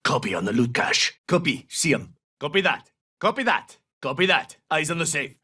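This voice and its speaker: High-pitched, forceful male soldier. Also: sing-song, moderate pace, slightly rough, distant mic, heavily acted. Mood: terse, focused. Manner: clipped, commanding, tactical. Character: tough, terse male special forces soldier. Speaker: video game operator voice actor. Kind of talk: military shooter game callouts, short barked lines.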